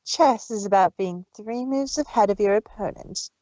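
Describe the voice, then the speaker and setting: A woman's voice: mid-range voice, normal speed, mellow, no echo, lo-fi sound, lightly acted. A woman voicing a cruel, arrogant big-sister mare villain in a dramatic story reading, taunting and condescending. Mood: smug. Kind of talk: dramatic fan fiction reading.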